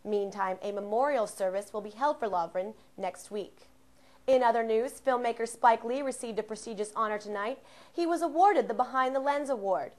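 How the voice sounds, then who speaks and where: A woman's voice: a little high, normal speed, a little mellow. A young American woman reporting scripted TV news in a formal, enunciated newscaster delivery. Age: young adult.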